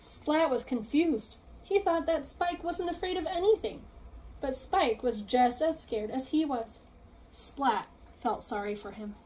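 A woman's voice: high-pitched, lively intonation, measured pace, darkish tone, a little hiss or hum, poor audio. A young adult woman reading a picture book aloud to children in a teacherly role. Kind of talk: storybook read-aloud. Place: small room at home, indoors.

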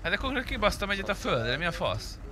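A man's voice: sits high, big pitch swings, crowd noise. A man chatting casually with other players during an online roleplay game.

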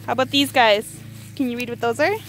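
A woman's voice: fairly high pitch, steady tone, fairly quick, fairly bright tone, soft background music. A woman talking casually with a young child as a mother filming outdoors, asking encouraging questions and repeating the child's words back. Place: outdoor backyard garden. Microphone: handheld phone camera held by the speaker. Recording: outdoor phone recording, faint background noise.

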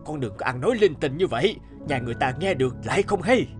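A man's voice: fairly high pitch, expressive, fast talker, soft background music, lightly acted. A man voicing a stern, stubborn elderly father of a noble house in a folk-tale cartoon. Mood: exasperated. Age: older. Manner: scolding, patriarchal. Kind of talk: animated folk-tale dialogue.

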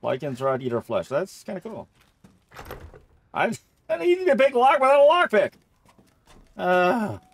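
A man's voice: high voice, wildly swooping pitch, moderate pace, gravelly, long pauses. A man giving casual video game playthrough commentary, jokey and thinking aloud as he goes.

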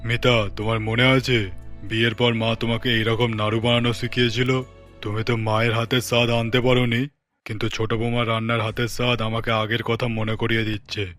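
A man's voice: medium pitch, little pitch movement, fairly quick, fairly bright tone, faint music behind, voice pushed down, lightly acted. A performer voicing a blunt elderly uncle, a man who is the family elder in a cartoon story. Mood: pleased, nostalgic.

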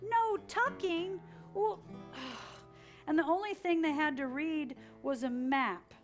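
A high-voiced woman tells a story to young children. She is dramatic and animated, inviting them to repeat lines as she goes. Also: takes it slowly, soft background music. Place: children's library story room with an audience, indoors.

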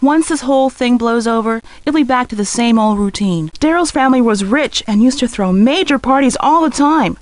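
An actress playing a driven, defensive high school girl in a video game, speaking in a guarded, emphatic way. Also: moderate pace, hardly any pauses, dry recording, natural voice. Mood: casual, confiding.

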